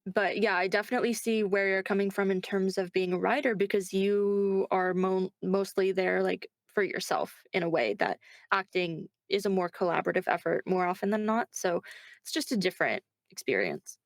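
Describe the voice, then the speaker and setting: A woman actor being interviewed, chatting casually in a thoughtful, measured way and often checking in with the listener. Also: medium pitch, no echo.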